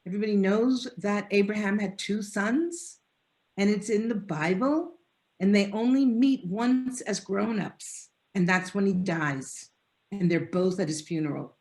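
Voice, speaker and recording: A woman's voice: medium pitch, a little slow, darkish tone. A woman professor answering a panel question over a video call, talking in an earnest, discursive way with restarts. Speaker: guest speaker, professor. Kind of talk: lecture-style answer in an online panel.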